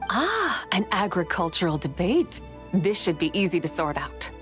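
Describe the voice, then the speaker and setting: A woman's voice: lowish voice, very animated, normal speed, over music. A woman playing a proud ancient horse adeptus in a video game, speaking in formal, archaic and imperious phrases. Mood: instructive, stately. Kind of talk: video game character acting.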